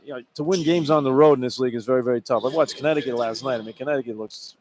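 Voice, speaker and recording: A man's voice: mid-range voice, very fast, crowd noise. A man working as a color analyst, talking conversationally through live sports analysis on the radio. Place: courtside in a sports arena.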